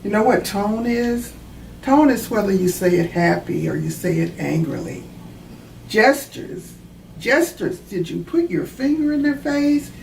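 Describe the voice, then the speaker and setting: A woman reads a children's story at a very unhurried pace, stopping to explain like a teacher. Her voice is on the low side with some creak. She sits away from the mic, with faint noise behind her. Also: animated, a little mellow. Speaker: author reading her own children's book. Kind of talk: children's storybook read-aloud with explanatory asides. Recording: roomy, distant mic, faint background noise.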